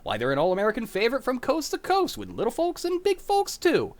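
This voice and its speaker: A man playing a wholesome, chipper pitchman in a 1940s-style radio commercial. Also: huge pitch swings, fast talker, exaggerated voice. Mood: chipper salesmanship.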